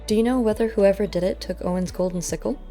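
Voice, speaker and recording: A woman's voice: medium pitch, quick pace, mellow, faint music behind, close to an everyday voice. A woman voicing a practical male animal investigator, matter-of-fact and probing, in an audiobook story. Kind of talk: audiobook character voice.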